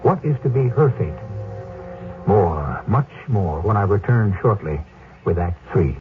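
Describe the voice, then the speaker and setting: A man hosting and narrating a radio drama in a measured, grave storytelling manner. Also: lowish voice, expressive. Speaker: host and narrator. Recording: tinny old radio broadcast with music.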